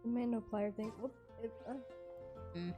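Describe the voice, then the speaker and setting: A woman game streamer chatting informally and haltingly on a livestream, often repeating herself. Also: fairly high pitch, over music. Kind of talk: casual livestream chat.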